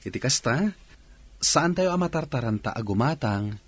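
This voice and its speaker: An actor playing an earnest, careful grown son in an Ilocano radio drama, with an Ilocano accent. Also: male voice, mid-range voice, expressive, normal speed, breathy edge. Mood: concerned.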